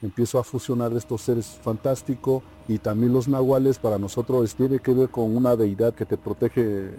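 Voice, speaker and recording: Very mellow Mexican man, native Mazatec speaker. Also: medium pitch, fairly even intonation, moderate pace. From Oaxaca, Mexico. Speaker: painter, interview guest. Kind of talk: conversational interview. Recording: faint music behind.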